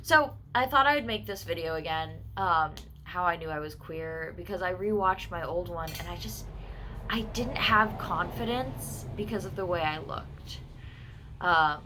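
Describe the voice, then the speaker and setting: A woman in her twenties telling a personal story in a casual, candid vlog, full of quick asides and self-corrections. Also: fairly low pitch, noisy background. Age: 20s. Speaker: vlogger.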